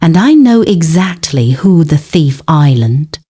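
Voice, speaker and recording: A woman's voice: low voice, very animated, unhurried, breathy edge, full character voice. A woman storyteller voicing a strict, jealous male school hostel master who scolds and accuses. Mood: angry accusing. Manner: sharp-tongued, scolding, accusing.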